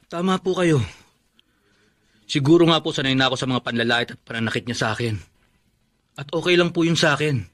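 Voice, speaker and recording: A man's voice: a little high, fairly quick, pauses often, fairly bright tone, natural voice. A man acting a wronged but proud younger brother in a Filipino radio drama, earnest and emphatic. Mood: hurt, earnest. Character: wronged, proud younger half-brother, respectful to his mother.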